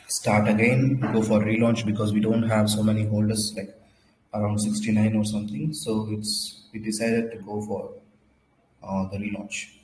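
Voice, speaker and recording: A man with a cold, hosting a casual livestream update, talking haltingly and often repeating and restarting words. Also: fairly low pitch, warm tone, echoey room, a little hiss or hum, low-quality recording. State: has a cold, slightly hoarse. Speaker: crypto project founder hosting a livestream.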